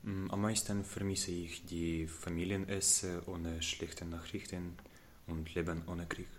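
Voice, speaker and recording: Male, 16, Ukrainian accent. Low, slow, careful interview answers over faint noise. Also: little pitch movement. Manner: careful non-native phrasing. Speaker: interviewee, refugee student.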